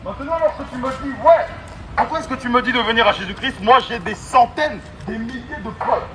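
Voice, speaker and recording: A man's voice: extremely high pitch, fairly quick. A man preaching an exhorting, emphatic street sermon through a megaphone, returning to key phrases again and again. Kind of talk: street sermon, open-air evangelism. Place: outdoors on an urban street. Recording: amplified megaphone, loud outdoor street noise.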